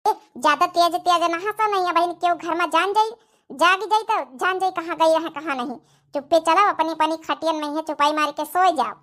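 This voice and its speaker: A woman's voice: extremely high pitch, normal speed, a bit bright. A woman voicing dramatic, exclamatory village in-laws in a cartoon comedy, with an Awadhi accent. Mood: conspiratorial. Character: scheming young daughters-in-law and fretting mother-in-law, village family.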